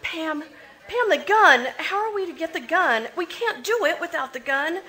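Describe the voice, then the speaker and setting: A woman's voice: very high-pitched, lively intonation, normal speed, sharp tone, exaggerated voice. A woman playing a timid, frightened young accomplice. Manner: nervous, hesitant, pleading. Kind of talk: 1940s radio acting.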